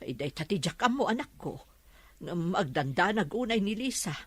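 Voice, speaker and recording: A woman with an Ilocano accent playing a caring, anxious market-vendor mother in a radio drama, soothing and expressive. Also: on the low side, normal speed, slightly rough, no echo, heavily acted. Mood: tired, worried.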